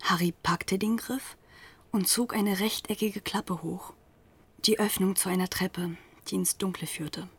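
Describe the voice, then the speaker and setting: A woman playing a haunted narrator, hushed and deliberate, often half-whispering, in a literary radio drama. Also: mid-range voice, steady tone, husky. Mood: hushed, descriptive.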